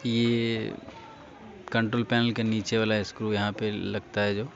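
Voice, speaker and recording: A man's voice: fairly low pitch, little pitch movement, a little slow, creaky. An Indian man giving a casual hands-on repair tutorial, speaking informally and instructing viewers directly. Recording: dull lo-fi recording with faint noise.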